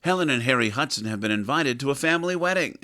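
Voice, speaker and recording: Casting: male narrator for scripted storytelling, mid-pitched, very bright and crisp-edged delivery. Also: animated, fast, no room sound, clean studio sound, soft background music.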